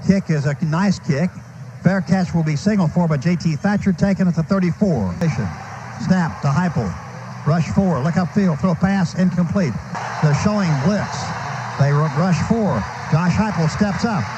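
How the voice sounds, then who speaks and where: High-voiced American football radio announcer, man. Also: moderate pace. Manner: short clipped phrases calling action as it happens. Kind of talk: live American football play-by-play commentary. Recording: noisy archival radio sports broadcast, stadium ambience.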